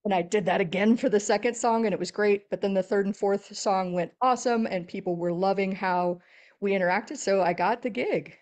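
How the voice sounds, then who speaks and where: A middle-aged woman from Texas with a deep voice, a podcast guest chatting casually and telling animated stories. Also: fairly quick. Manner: animated storyteller, laughs often. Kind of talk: casual interview chat.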